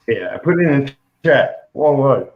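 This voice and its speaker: A man's voice: mid-range voice, animated, moderate pace, gravelly, phone-quality sound, a little hiss or hum. A man with a New York accent hosting a casual comics livestream, rambling with frequent restarts. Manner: rambling, restarts often, laughs between remarks. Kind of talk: casual chat.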